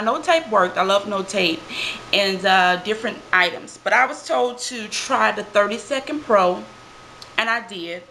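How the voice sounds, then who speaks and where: A woman's voice: medium pitch, sharp tone, slight background noise, low-quality recording. A young adult woman chatting in a casual product review vlog, emphatic, with lots of fillers and tag questions, recorded on a webcam in a small room. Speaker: beauty vlogger.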